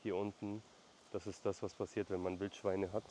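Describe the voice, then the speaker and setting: Man vlogging outdoors on a farm, low voice. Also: flat delivery, moderate pace, dark tone. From Lebanon. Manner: calls out to his animals between explanations. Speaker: vlogger and shepherd. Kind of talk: casual vlog narration while herding. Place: outdoors on a rural mountain farm. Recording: faint outdoor noise.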